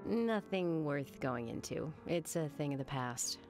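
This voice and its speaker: Poised young cop lady from a video game, lowish easy voice, music playing behind. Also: expressive, measured pace. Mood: reflective. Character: poised, candid young small-town policewoman. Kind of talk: video game cutscene acting. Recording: game dialogue under loud music.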